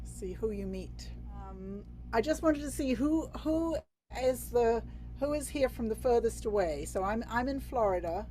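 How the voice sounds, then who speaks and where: A woman's voice: a little high, expressive, measured pace, dark tone, low-quality recording. A woman from England hosting a video-call workshop, bubbly and chatty, with many fillers and restarts. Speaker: workshop host.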